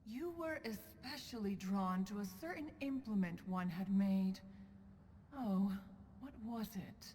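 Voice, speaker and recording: A woman's voice: medium pitch, animated, a little slow, dark tone, big-room sound, slight background noise, lightly acted. A woman playing a proud, doting immortal elder in a video game, with formal, eloquent and lofty speech. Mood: teasing, nostalgic. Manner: formal, lofty, calls herself "one". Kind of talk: video game cutscene voice acting.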